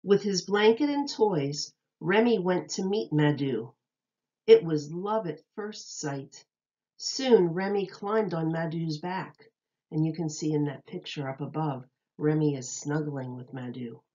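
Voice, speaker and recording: A woman with a slightly low voice reads a children's book aloud like a teacher. She pronounces each phrase carefully and deliberately for young listeners. The recording is a little dull and lo-fi. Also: dark tone.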